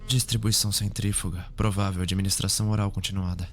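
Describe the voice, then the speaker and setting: Man acting a low-voiced, serious young investigator. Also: flat delivery, faint music behind, natural voice. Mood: clinical, focused. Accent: Brazilian. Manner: formal, measured, clinical. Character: serious, formal young male forensic investigator. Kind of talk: ASMR roleplay acting.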